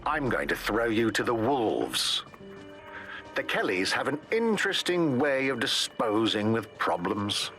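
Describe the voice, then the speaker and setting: A man's voice: medium pitch, very animated, unhurried, a bit bright, faint music behind. A man playing a threatening, gloating private-army interrogator in a video game, taunting as he goes. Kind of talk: dramatic game cutscene acting.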